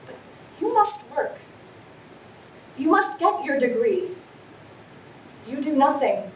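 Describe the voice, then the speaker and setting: A woman acting a distraught, pleading aristocratic mother in a melodramatic classical stage monologue with dramatic pauses. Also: high voice, very animated, heavily acted. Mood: pleading, wretched. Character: distraught, pleading aristocratic mother losing her beloved home. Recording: distant mic, echoey room, dull, faint noise.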